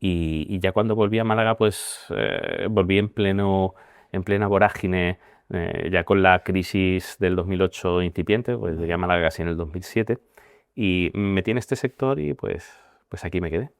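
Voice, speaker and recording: Man in his 40s from Spain, very low voice, dry close sound, thoughtful guest who hesitates a lot. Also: vocal fry, smooth, no echo. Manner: measured, reflective, hesitant with many fillers. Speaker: guest, managing partner. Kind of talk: conversational interview.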